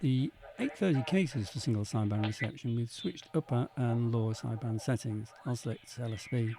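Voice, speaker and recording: A man narrating an instructional equipment demonstration in a measured, methodical way. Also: mid-range voice, rough recording. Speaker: presenter demonstrating vintage radio equipment.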